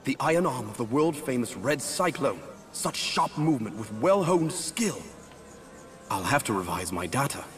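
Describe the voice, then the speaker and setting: A man's voice: medium pitch, sing-song, moderate pace, music in the background, lightly acted. A man playing a devoted, earnest butler in a video game, formal, deferential and dramatic. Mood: formal, businesslike.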